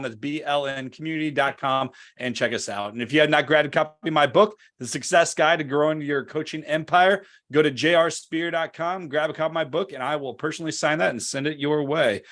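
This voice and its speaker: A man's voice: a little high, a bit bright. A man hosting a business interview show, promoting his community and introducing a guest in an upbeat, conversational way. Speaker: podcast host.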